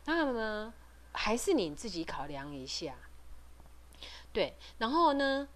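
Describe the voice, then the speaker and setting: A woman's voice: medium pitch, sing-song. Taiwanese Mandarin woman, hesitant explainer. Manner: explanatory, hesitant, with frequent pauses and trailing particles. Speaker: podcast host and parent advocate. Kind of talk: informal advisory talk.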